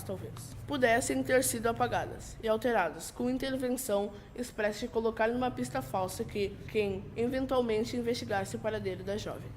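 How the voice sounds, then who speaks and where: A young female performer playing a composed, knowing gentleman in a 1940s-style amateur radio drama, reciting formally and deliberately. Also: a little high, slight background noise, close to an everyday voice.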